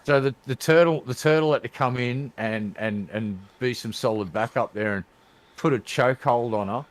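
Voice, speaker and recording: A man's voice: medium pitch, normal speed. Crude, emphatic man bantering on a podcast. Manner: crude, emphatic, repeats words for effect. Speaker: podcast co-host. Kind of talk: casual comic commentary banter.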